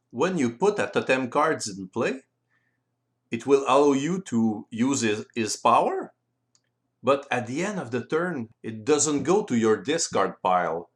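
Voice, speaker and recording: A man with a French accent, a native French speaker, giving a careful, deliberate tutorial voice-over on board game rules in non-native English. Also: medium pitch.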